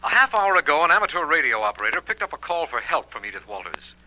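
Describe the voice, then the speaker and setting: An actor playing a brisk, excitable official in a 1940s radio drama. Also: male voice, on the high side, heavily acted, rough recording. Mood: agitated.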